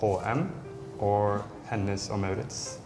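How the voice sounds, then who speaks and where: A Norwegian-accented woman speaking in casual chat, slow and measured. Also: rumbling low, gravelly, music in the background. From Norway. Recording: echoey, loud noise.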